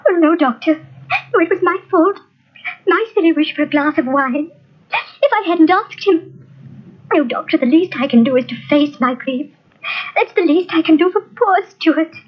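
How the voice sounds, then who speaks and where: A high, swooping genteel young wife from an old radio melodrama. She is sweetly coy and theatrical, on a dull vintage recording. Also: expressive, moderate pace, pauses often. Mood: grieving. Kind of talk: old-time radio acting.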